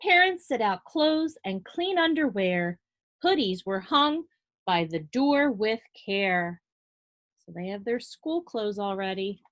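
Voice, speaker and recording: A woman preschool teacher reading to young children in an animated way, with simple explanations and questions spoken directly to them. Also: mid-range voice. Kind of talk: read-aloud to young children with asides and questions.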